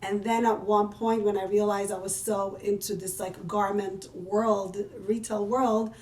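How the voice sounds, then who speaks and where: A woman's voice: medium pitch, level tone, darkish tone, slight background noise. Israeli-born woman chatting on a podcast, easygoing, kinda far from the mic in an echoey room. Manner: chatty, self-deprecating, quick to laugh. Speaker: podcast guest, business owner. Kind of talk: casual conversational interview. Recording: roomy and distant from the mic.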